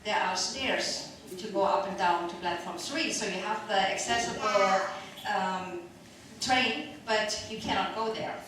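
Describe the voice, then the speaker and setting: A woman's voice: mid-range voice, measured pace, few pauses, crowd noise. A woman on a discussion panel giving an informal, anecdotal account in hesitant, piecemeal phrases. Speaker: panel speaker. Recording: very echoey, distant mic, noisy room.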